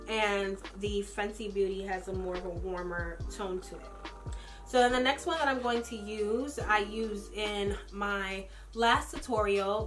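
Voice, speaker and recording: A woman's voice: mid-range voice, a bit bright. Chatty young woman with a fading voice. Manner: chatty, filler-heavy, personal opinions. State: losing her voice, runny nose. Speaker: beauty vlogger. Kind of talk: casual makeup product review.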